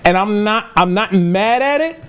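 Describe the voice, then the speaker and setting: A man's voice: high voice, animated, normal speed, fairly bright tone, slight background noise. A man co-hosting a podcast in casual chat, speaking emphatically and repeating himself to make a point. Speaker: podcast co-host reviewing movie news.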